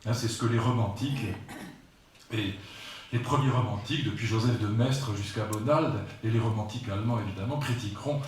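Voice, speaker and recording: A man's voice: lowish voice, a little fast, darkish tone, slight background noise. A man in his sixties from France giving a public philosophy lecture, talking in a digressive, emphatic way with asides to the audience. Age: 60s. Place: lecture hall. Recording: very echoey hall, voice picked up from far off.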